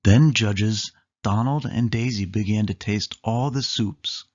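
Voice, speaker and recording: A storyteller reading a children's book aloud in an animated way, lingering on listed words. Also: mid-range voice, slow pace, dull audio.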